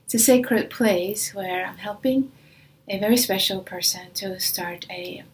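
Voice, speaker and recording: A woman whose native language is Swedish, giving a spiritual talk in a soft manner that often drops near a whisper, speaking away from her usual studio. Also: mid-range voice, a little slow, poor audio. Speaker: spiritual teacher.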